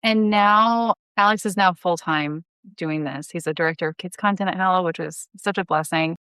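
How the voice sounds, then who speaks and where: A woman in her thirties with a slightly low, smooth and clear voice. She talks casually and explains in a chatty way, like an interview guest, in a close, dry recording. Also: moderate pace, fairly bright tone, dry recording. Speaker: guest, audio drama creator.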